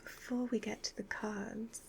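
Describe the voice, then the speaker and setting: A woman playing a sly, commanding evil witch fortune teller in a soft-spoken ASMR roleplay, half-whispering slow, measured instructions. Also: mid-range voice, level tone, vocal fry. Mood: sly, assured.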